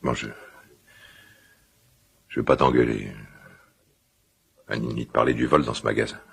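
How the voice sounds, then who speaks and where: A very deep, gravelly, blustering father. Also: steady tone, full character voice. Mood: stern, scolding. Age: middle-aged. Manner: halting, repeats himself, blustering colloquial speech. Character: gruff, quick-tempered but caring father of a teenage son. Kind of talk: naturalistic French radio drama acting.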